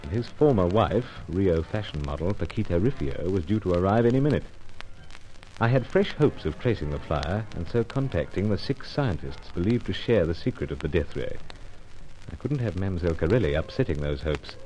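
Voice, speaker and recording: A man's voice: sits low, dark tone. A man playing a cool, understated secret agent with clipped speech, in an old radio serial style. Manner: clipped, understated, drops words. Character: cool, clipped British male secret agent, narrating his case. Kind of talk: old-time radio detective serial acting. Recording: noisy vintage radio recording.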